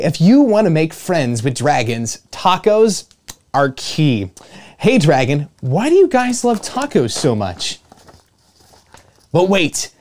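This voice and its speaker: A man in his twenties reading a picture book aloud to children as a storytime host, playful, with dramatic emphasis and pauses. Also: fairly high pitch, a little mellow. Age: 20s. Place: small indoor room with home studio gear.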